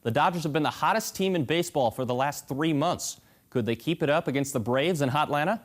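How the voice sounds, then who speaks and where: A man's voice: a little high, brisk. A young American man anchoring a scripted sportscast with a punchy, polished broadcast delivery. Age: young adult.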